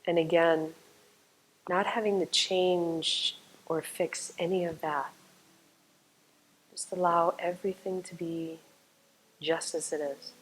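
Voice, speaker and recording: Slow, low-voiced woman guiding meditation. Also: slight background noise, rough recording. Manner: calm, soothing, unhurried, with long pauses. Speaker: yoga nidra meditation teacher.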